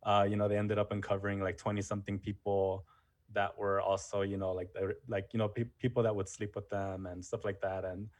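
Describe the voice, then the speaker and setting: A history teacher talking casually as an interview guest, full of "you know" and "um" fillers. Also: male voice, fairly low pitch, little pitch movement, brisk, darkish tone. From United States. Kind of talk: casual conversation.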